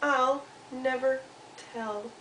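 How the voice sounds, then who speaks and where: A woman voicing a coy, gentle little mouse with a secret in a children's puppet story, speaking in a drawn-out, softly stubborn way. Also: sits high, steady tone, echoey room, recorded from a distance, a little hiss or hum, voice pushed up, heavily acted, low-quality recording. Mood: coy, secretive.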